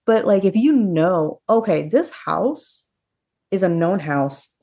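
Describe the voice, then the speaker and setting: Casting: female podcast co-host, lowish register, loose conversational delivery, informal news chat. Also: animated, slow, warm tone. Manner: conversational, peppered with "like". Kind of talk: casual chat about horror news.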